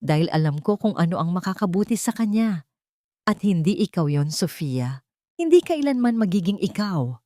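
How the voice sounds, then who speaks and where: A cold, wealthy older matriarch villain, acted by a woman narrator in an audio drama. She speaks low and commanding, with menace, in a dry, close recording. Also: big pitch swings, normal speed, warm tone, no echo. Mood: scornful. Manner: threatening, commanding.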